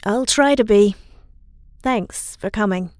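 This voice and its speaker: A woman's voice: mid-range voice, takes it slowly, no echo, close to an everyday voice. A woman playing a grieving, guilt-ridden young superhero in an audio drama, confiding in a conversational way. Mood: downcast.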